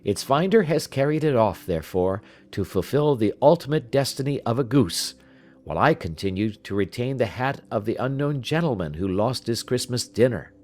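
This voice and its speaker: A man voicing a confident, analytical gentleman detective in an audiobook, speaking in a precise, measured, lecturing manner. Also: medium pitch, animated, close to an everyday voice. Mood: assured, explanatory. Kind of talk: audiobook character dialogue.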